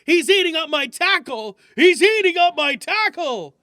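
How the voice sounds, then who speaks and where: A man voicing an excitable, nearsighted old fisherman in a read-aloud story, with gasping, whimpering cries. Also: extremely high pitch, unhurried, dry recording, clean studio sound, voice pushed up, heavily acted. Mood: dismayed.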